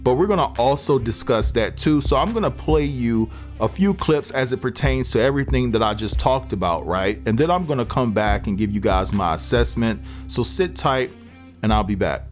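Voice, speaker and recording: A man's voice: medium pitch, animated, normal speed, faint music behind. A man hosting a celebrity news show, talking casually to his viewers.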